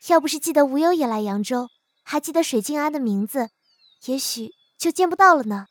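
A woman plays a sweet young girl in a period audio drama. Her voice is very high and closely, dryly recorded. She sounds innocent and easily excited. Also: a little fast, dry recording. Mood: eager. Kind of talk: Chinese costume audio drama acting.

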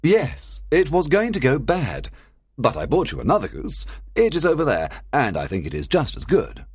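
Guy doing a confident polite detective for an audiobook, very animated, dry close sound. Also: fairly high pitch, moderate pace, husky, dry recording, pitched above the performer's own voice, exaggerated voice. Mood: courteous, brisk. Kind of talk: acted audiobook dialogue.

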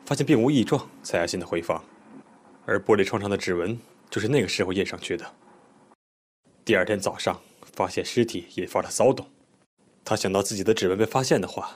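Actor as low-voiced, methodical male police detective. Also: natural voice. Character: composed male police detective explaining a murder case. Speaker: police investigator questioning a witness. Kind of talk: audio drama acting.

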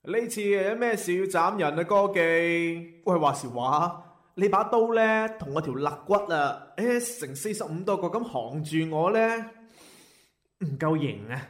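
男人扮演语调起伏、啰嗦不耐烦的食客. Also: male voice, high voice, measured pace. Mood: impatient, fussy. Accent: Guangzhou Cantonese. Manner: nagging, pedantic, animated. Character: impatient, bold male diner, fussy and pedantic. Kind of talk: comic internet radio drama acting. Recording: roomy, echoing voice track.

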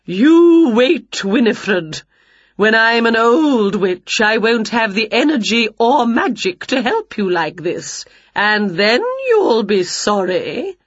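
A woman voicing a prim, fussy older witch aunt in a children's storybook, scolding in drawn-out, condescending words. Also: on the high side, big pitch swings, husky, no echo, dull audio, voice pushed up, heavily acted. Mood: smug, condescending.

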